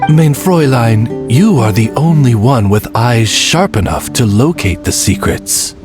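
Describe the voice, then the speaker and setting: A man acts a gravely polite raven retainer in a video game. He speaks slowly and formally with elegant, varied intonation, over clear background music. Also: mid-range voice, expressive, natural voice. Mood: polite, measured. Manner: formal, courteous, elevated diction.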